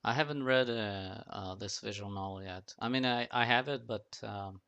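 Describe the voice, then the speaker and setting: A man's voice: lowish voice, normal speed, gravelly, sharp tone, clean tone, lo-fi sound. A man hosting a casual podcast discussion, putting questions and chatting informally. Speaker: podcast host.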